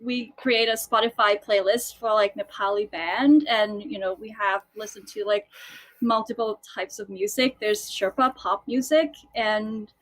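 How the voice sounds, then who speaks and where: A woman's voice: mid-range voice, a little slow, poor audio. A woman who grew up in Thailand, a musical theatre composer, chatting on a video call with many fillers and restarts. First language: Thai. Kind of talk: casual video call chat.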